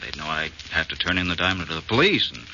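A man's voice: rumbling low, expressive, quick pace, pushed and bright, heavily acted. An American man playing an anxious, polite but stubborn pawnbroker in a 1940s radio mystery, hesitant and earnest. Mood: earnest, worried. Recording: muffled, noisy old-time radio recording.

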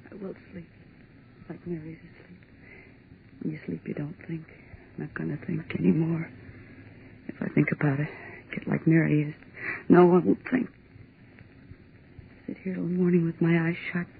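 A woman's voice: low-pitched, flat delivery, moderate pace, creaky, long pauses, a little hiss or hum. An actress playing a terrified young office woman, pleading frantically, in 1940s radio acting style. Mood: whispering. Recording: tinny 1940s radio broadcast.

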